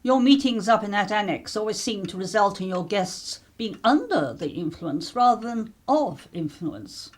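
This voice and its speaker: An actress plays a middle-aged upper-class English mother in a period radio drama. She is brisk and proper, with pointed remarks, a husky edge and very lively intonation. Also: mid-range voice, close to an everyday voice. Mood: wry reproof. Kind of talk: radio play acting.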